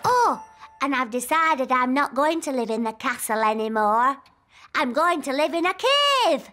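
Bright, wilful little princess. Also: female voice, high voice, sing-song, soft background music, full character voice. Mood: wilful, defiant. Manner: emphatic, drawn-out childish declarations. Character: bold, wilful, excitable little cartoon princess.

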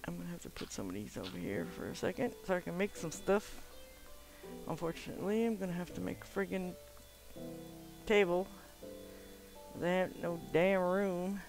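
A nasal, slightly stuffed-up voice with a gravelly, creaky edge, rambling through casual gameplay commentary. The talk is chatty and loose, full of "um"s and offhand swearing. Faint game music plays quietly underneath. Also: mid-range voice, animated, normal speed, pauses often, poor audio. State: stuffed-up from swimming. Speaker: gamer recording a let's play.

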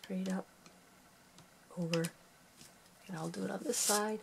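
Sniffly woman with a gravelly voice. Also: medium pitch, fairly even intonation, measured pace, slight background noise. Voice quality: gravelly, creaky voice. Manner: talks softly while working with her hands, rambling and homey. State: sniffly, stuffed nose. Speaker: craft tutorial host. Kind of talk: casual craft tutorial.

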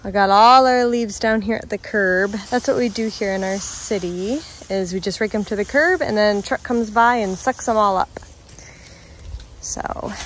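American mom chatting to her phone for a vlog, medium pitch voice, kinda noisy behind her. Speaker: parent vlogger. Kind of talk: casual vlog chat. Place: indoors at home, then outdoors in yard and driveway. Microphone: handheld phone camera. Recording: clearly noisy background.

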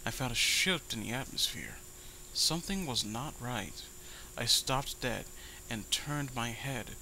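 A man's voice: medium pitch, animated, unhurried, pushed and bright, a little hiss or hum, rough recording. A man reading a horror story aloud with dramatic delivery and sarcastic commentary. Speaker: host reading amateur horror stories.

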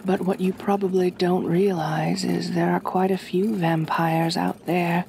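A woman playing a kind, possessive aristocratic vampire mistress in an ASMR roleplay, speaking gently in a measured, genteel, soothing way. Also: lowish voice, flat delivery, gravelly, slight background noise. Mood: calm, coaxing.

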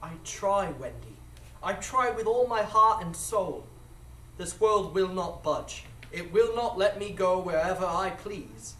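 Man acting a high-voiced, melancholy, disillusioned man. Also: animated, a little slow, fairly bright tone. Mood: anguished, resigned. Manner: plaintive, florid, dramatic. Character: Michael Darling, melancholy, disillusioned grown man. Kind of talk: live radio drama acting. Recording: distant echoey live stage.

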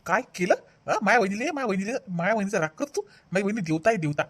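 Woman voicing fast-talking, hot-tempered cartoon husband. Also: mid-range voice, animated, breathy edge. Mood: angry, accusing. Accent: Vidarbha Marathi. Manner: accusatory, repetitive, melodramatic. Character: hot-tempered husband devoted to his sister-in-law. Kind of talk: animated family comedy acting.

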